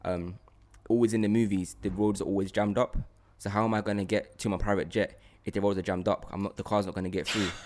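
A young man co-hosts a casual chat. He rambles through his stories in an animated way, leaning on everyday slang. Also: fairly low pitch, fast talker. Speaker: podcast co-host.

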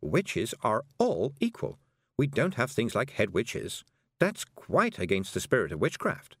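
A man voicing a middle-aged woman witch who speaks slowly, calmly and patiently. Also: mid-range voice, slightly rough, no echo, studio quality, exaggerated voice. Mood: measured. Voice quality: slow, measured, patient.

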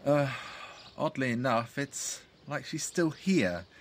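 A woman's voice: very deep voice, expressive, slow pace, a little hiss or hum, full character voice. A woman in an audio play acting a timid, mother-smothered grown son, hesitant, stammering and nervous. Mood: awkward.

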